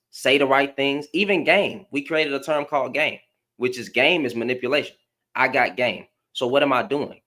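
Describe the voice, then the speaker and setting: A man's voice: medium pitch, fairly bright tone. Houston guy hosting a panel chat, slangy and rambly, keeps repeating himself. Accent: Southern US (Houston). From Houston, Texas. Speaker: live panel host. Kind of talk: casual live panel discussion.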